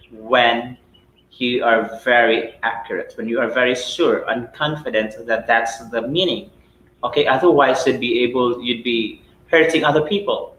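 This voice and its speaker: A man's voice: mid-range voice, a bit bright, echoey room, rough recording. A man from the Philippines with a Filipino accent, whose first language is Cebuano, teaching a live English lesson in a chatty way, with lots of "right?" and "okay?". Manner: chatty, rhetorical questions with tag "right?" and "okay?".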